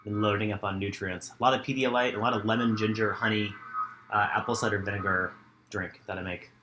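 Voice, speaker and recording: A man co-hosting a casual recap podcast from home, hoarse from a cold, with dry understated remarks. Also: lowish voice, fairly even intonation, fairly quick, background noise. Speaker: podcast co-host. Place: home bedroom.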